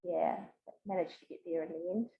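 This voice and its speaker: A woman's voice: on the low side, fairly even intonation, moderate pace, gravelly, breathy edge, highs cut off. A woman in her fifties with a New Zealand accent, chatting expressively and telling stories casually as an interview guest. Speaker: podcast guest.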